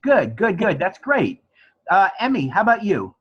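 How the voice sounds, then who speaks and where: A man with a New Jersey accent leads an online class. His voice sits a little high and the sound is somewhat dull and band-limited. He talks informally and encouragingly, like a teacher chatting with students. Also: very animated, normal speed, slightly rough. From New Jersey, USA. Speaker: college instructor. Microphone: online class call.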